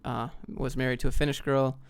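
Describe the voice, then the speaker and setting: A man from the United States with a gravelly, fry-laden voice at an average male pitch. He talks informally and bluntly, like a streamer fielding questions from viewers, reading each one out and replying off the cuff. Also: little pitch movement, brisk. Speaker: livestream host answering viewer questions. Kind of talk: casual off-the-cuff Q&A chat, reading questions aloud.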